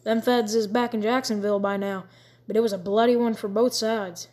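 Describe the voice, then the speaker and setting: A woman voicing a blunt Confederate soldier who talks in rough country dialect. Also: fairly high pitch, steady tone, a little fast, darkish tone, lightly acted. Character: gruff Southern male Confederate soldier.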